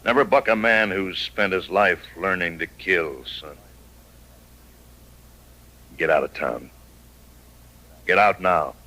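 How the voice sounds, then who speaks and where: An actor playing a cold, self-assured gunfighter sheriff in a radio western, speaking tersely and deliberately with quiet menace. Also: male voice, sits low, exaggerated voice, poor audio. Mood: cold warning. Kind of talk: 1950s radio western acting.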